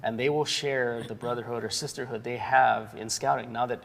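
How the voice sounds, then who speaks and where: A middle-aged man from Hawaii with an American accent, explaining earnestly and clearly as an interview guest, in an orderly, articulate way. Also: mid-range voice, fairly even intonation, moderate pace, a bit bright, a little hiss or hum. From Hawaii, United States. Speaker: guest, youth scouting organization professional. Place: reverberant plaza show set. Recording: long reverberant hall sound.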